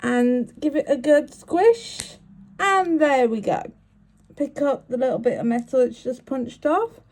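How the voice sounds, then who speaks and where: A woman's voice: high voice, expressive, a little slow, darkish tone. A woman, sniffly with a cold, talking through each step of making a bracelet in a chatty, apologetic way. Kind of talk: crafting tutorial.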